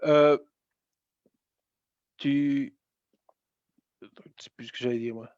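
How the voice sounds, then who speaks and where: Male interviewer, mid-pitched, friendly and stumbling, dry dull recording. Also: fairly even intonation, takes it slowly, darkish tone, no room sound. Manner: friendly, stumbling over his words. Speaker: interviewer host. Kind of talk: casual interview.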